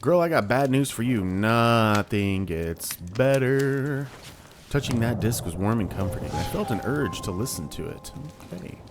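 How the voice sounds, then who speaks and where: A man's voice: mid-range voice, expressive, measured pace, music in the background. A young man hosting a horror let's play, reading game text aloud with wry asides. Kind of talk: casual gameplay commentary.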